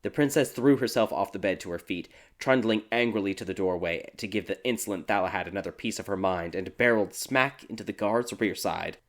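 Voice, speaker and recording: A man narrating a dark fairy tale audiobook in a dramatic, scripted storytelling style. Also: fairly low pitch, a little fast, few pauses. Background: background music.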